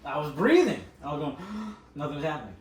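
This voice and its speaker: A man hosting a casual podcast with friends, talking loudly and defensively, his voice jumping up when he protests. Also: fairly high pitch, very animated, brisk, a little hiss or hum. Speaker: podcast host. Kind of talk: casual group podcast banter. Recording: roomy sound from a distant mic.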